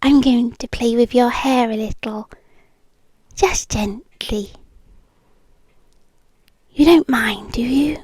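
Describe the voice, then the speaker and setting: Breathy British woman acting a possessive yandere girlfriend. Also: a little high, dry recording. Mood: tender, soothing. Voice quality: breathy, often whispering. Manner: slow, soft, intimate and coaxing. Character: possessive, doting yandere girlfriend soothing her partner. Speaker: ASMR roleplay performer. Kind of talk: intimate ASMR roleplay. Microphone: close microphone, dry.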